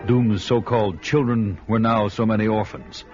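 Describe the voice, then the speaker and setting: A man's voice: lowish voice, fairly even intonation, normal speed, close to an everyday voice. An actor playing a grim, battle-hardened barbarian hero who narrates his own story in the first person. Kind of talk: radio drama narration. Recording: muffled audio under loud music.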